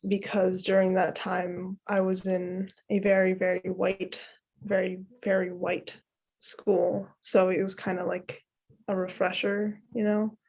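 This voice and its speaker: A woman's voice: mid-range voice, monotone, a little slow, creaky, darkish tone, breathy edge, low-quality recording. A young woman and former child cast member recalling what the show meant to her.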